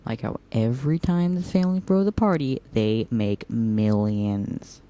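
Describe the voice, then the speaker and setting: A man's voice: mid-range voice, unhurried, dark tone, clear, resonant tone, close to an everyday voice. A man playing a whimsical, teasing young operative in an audio drama, talking in a playful, mock-dramatic way.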